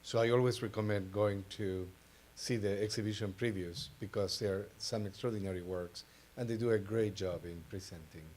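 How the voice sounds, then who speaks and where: Man, Argentine accent, lowish pitch, hesitant, pausing, informal panel talk. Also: steady tone, darkish tone, slight background noise. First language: Spanish. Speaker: panelist, art advisor.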